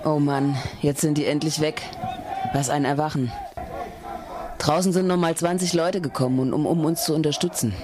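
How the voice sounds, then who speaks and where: A man acting a stern, protective activist flatmate in an amateur radio play, with an insistent and brusque manner. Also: fairly high pitch, normal speed, a crowd in the background, lightly acted. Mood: weary, relieved. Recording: noisy amateur recording with clicks.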